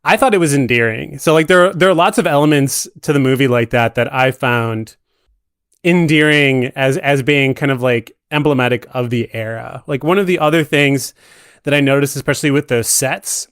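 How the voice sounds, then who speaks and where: Man, medium pitch, podcast guest, casual musing film talk, very dry close sound. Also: moderate pace, a bit bright, no room sound.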